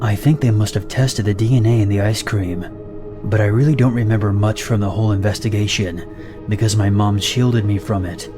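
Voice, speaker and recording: A man narrating horror stories in an even, measured voice. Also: on the low side. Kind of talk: scripted narration of reader-submitted stories. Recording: narration over loud background music.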